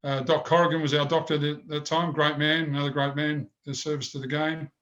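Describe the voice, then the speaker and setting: A man's voice: on the high side, little pitch movement, a little fast, a bit bright, lo-fi sound. An older man with a big voice, telling rambling stories in an interview. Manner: rambling anecdotes with many restarts. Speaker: former goalkeeper, guest. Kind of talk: storytelling interview.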